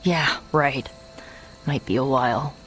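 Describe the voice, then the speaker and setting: Emotional, stubborn young game heroine. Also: female voice, a bass voice, slow pace, fairly bright tone, full character voice, rough recording. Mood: weary, bitter. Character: emotional, stubborn young woman hero pleading for her friend. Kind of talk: dramatic video game acting. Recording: music and effects behind.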